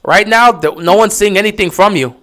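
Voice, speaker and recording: A man giving casual live play-by-play commentary on a stream, getting excited and loud on big plays. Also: sits high, brisk. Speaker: livestream game commentator.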